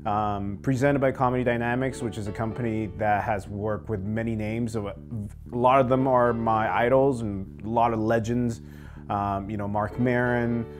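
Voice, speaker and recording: A man from Taiwan, a native Mandarin speaker, talking in a relaxed, reflective way in a documentary interview in a small hotel room. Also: fairly low pitch, normal speed. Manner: relaxed, reflective, soft-spoken. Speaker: comedian. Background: music.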